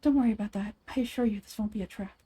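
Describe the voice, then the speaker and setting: A woman's voice: mid-range voice, rapid-fire, dark tone, slightly rough, voice pushed down, natural voice. A woman voicing an earnest, guarded scholar's assistant in a video game, serious and careful. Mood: reassuring.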